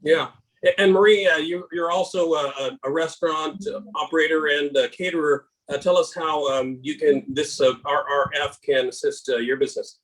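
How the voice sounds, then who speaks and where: A man's voice: a little high, steady tone, normal speed, a bit bright, poor audio. A man hosting a video-call interview show, friendly and casual, with frequent hesitations. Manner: friendly, many filler uhs. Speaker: host.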